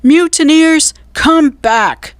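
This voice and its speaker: A woman's voice: medium pitch, slow pace, no room sound, lightly acted. Cap'n Bones, a woman doing a growling pirate captain. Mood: gruff. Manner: growling, bossy, pirate talk.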